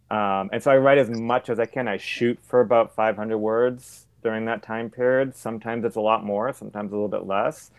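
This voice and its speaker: A man with a low voice speaks as an author guest on a casual panel. He is matter-of-fact and leans on filler words. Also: clear, resonant tone.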